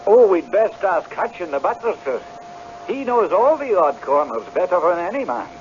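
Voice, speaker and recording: An actor playing a humble, frightened but stubborn piper, earnest and deferential, in a radio drama. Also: male voice, high voice, big pitch swings, moderate pace. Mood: respectful, stubborn. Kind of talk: old-time radio drama acting. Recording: dull, faintly noisy vintage radio broadcast.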